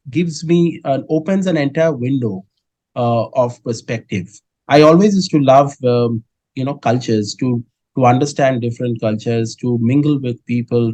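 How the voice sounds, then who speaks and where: Measured Indian man, dry close sound. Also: mid-range voice, darkish tone, dry recording. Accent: Indian English. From India (Kolkata). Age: middle-aged. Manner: measured, many "uh" fillers and restarts. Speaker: guest, dairy business head. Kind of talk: interview answers.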